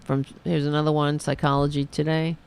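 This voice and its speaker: Hoarse woman hosting a political livestream. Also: sits low, little pitch movement, fairly quick, a little mellow. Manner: rambling, sarcastic, talks to chat. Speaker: political talk show host. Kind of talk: casual livestream commentary.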